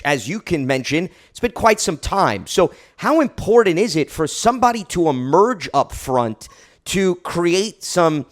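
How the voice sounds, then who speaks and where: Higher-voiced American male sports host. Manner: wordy, analytical. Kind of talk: conversational sports interview.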